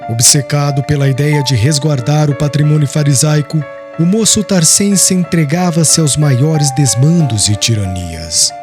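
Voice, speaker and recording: A man's voice: fairly high pitch, unhurried, hardly any pauses. Solemn Brazilian male narrator over music. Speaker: audiobook narrator. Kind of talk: scripted literary narration of a religious historical novel. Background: background music.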